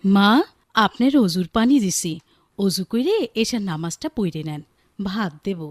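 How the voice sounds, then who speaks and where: A woman with a Bangladeshi accent acting a caring, gently strict young village mother in a Bengali radio drama. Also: medium pitch, animated, measured pace, no echo. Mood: dutiful.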